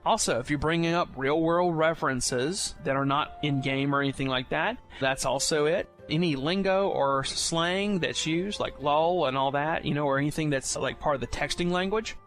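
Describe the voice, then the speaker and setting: A man's voice: a little high, moderate pace, few pauses, fairly bright tone. Man explaining casually over music. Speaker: podcast segment presenter. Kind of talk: informal explainer for new players. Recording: music playing behind the voice.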